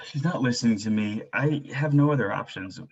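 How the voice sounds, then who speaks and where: A man's voice: medium pitch, moderate pace, husky, muffled recording. A man playing a worried, frustrated father in a staged drama reading, with a pleading, earnest manner. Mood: resigned, desperate. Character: worried, frustrated stay-at-home father and husband.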